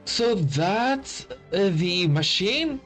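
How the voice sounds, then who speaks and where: Slow, expressive, sweary gamer commentary. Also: mid-range voice. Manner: slangy, sweary, drawn-out reactions. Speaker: gamer reacting while playing. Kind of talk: casual gameplay commentary. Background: game music.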